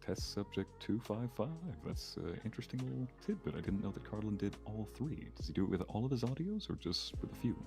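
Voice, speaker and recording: Deep creaky guy just chatting, curious, explains stuff w examples, faint music behind. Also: expressive, brisk, hardly any pauses, mellow. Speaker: voice actor and content creator. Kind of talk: casual chat.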